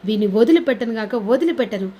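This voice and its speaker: A woman's voice: on the high side, lively intonation, fast, warm tone, muffled recording, slight background noise, lightly acted. A woman voicing a bitter wife in a family serial recap, ranting and vowing revenge. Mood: bitter, vengeful.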